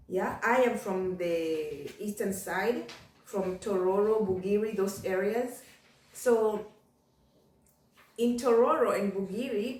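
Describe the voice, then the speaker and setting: A woman's voice: medium pitch, a little mellow. Woman vlogging, candid and chatty, echoey room, sounds a bit far from the mic. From Kenya. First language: Ugandan. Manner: candid, repeats phrases, frequent "you know". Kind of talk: casual reflective monologue.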